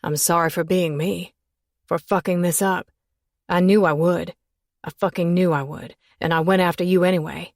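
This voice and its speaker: A woman narrator voicing a cold, bitter man who speaks in a low, gritted, clipped way. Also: steady tone, moderate pace, dry recording, studio quality.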